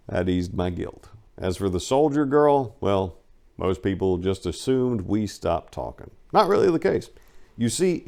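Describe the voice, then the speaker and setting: A man narrating a first-person memoir as a dramatic reading, with emphatic storytelling and some drawn-out phrases. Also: deep voice, a little mellow. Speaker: narrator reading a book aloud.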